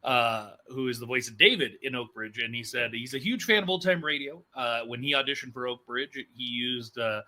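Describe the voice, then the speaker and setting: Bright-voiced man hosting. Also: medium pitch, expressive, moderate pace, hardly any pauses. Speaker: podcast host. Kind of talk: casual interview chat.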